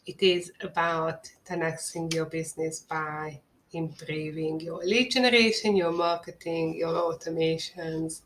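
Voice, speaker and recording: A woman with a Hungarian accent presents a webinar, taking her time over each phrase. Her voice sits on the lower side, and she sounds earnest and persuasive, like a pitch she has rehearsed. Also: fairly bright tone, slight background noise, low-quality recording. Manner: earnest, measured, salesy. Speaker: marketing agency owner presenting a webinar.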